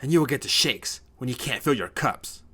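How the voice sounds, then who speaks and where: A man's voice: medium pitch, expressive, fairly quick, husky, voice pushed up. Guy doing a protective big brother in an audiobook, urgent, defiant, raised voice. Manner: forceful, urgent.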